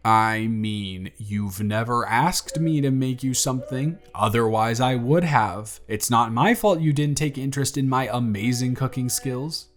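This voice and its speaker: A young man playing a grateful single father who talks softly and teases gently in a romantic audio story. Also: medium pitch, unhurried, few pauses, faint music behind, natural voice. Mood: tender, playful. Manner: soft-spoken, gently teasing. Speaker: audio drama performer.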